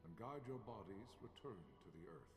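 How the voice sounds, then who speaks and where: Man acting solemn game priest, low voice, reverent ceremonial delivery, very loud music. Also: lively intonation, normal speed, lightly acted. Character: solemn male priest giving a funeral blessing. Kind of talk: ceremonial scripted game cutscene. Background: loud orchestral music.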